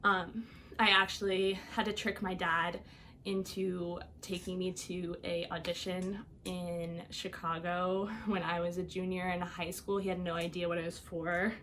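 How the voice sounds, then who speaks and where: A young woman shares her life story as a podcast guest, talking casually with many fillers and light laughs. Her voice sits a little low, and a faint ambient hum runs underneath. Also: level tone, measured pace, fairly bright tone. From Iowa, United States. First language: Albanian. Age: young adult. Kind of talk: personal storytelling.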